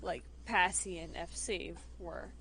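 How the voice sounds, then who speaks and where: A woman's voice: on the low side, fairly even intonation, takes it slowly, fairly bright tone, rough recording. A woman chatting casually and improvising role-play narration on a game stream. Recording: faint background noise.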